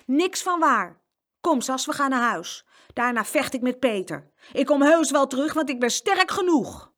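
Casting: woman as a little storybook lad, headstrong and plucky, pitched up high, very lively intonation. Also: moderate pace. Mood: stubborn, boastful. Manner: tough-talking, headstrong. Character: small, stubborn, plucky young twin boy talking tough. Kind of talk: children's storybook character reading.

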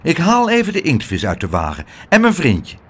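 A man's voice: a little high, huge pitch swings, moderate pace, fairly bright tone, voice pushed up, exaggerated voice. A man voicing a young boy hero in a children's storybook, polite, earnest and coaxing.

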